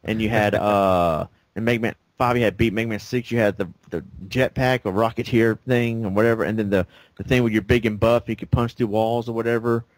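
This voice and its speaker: A man's voice: on the low side, steady tone, fairly quick, dry recording. A man co-hosting a casual game review chat, rambling with lots of fillers and laughing often. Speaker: co-host of a game review show. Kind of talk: casual gaming discussion.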